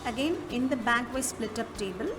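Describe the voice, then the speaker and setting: A woman presents a software walkthrough in an instructional manner, with halting phrasing. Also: on the high side. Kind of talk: step-by-step app walkthrough narration. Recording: music behind the voice. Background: clearly audible music.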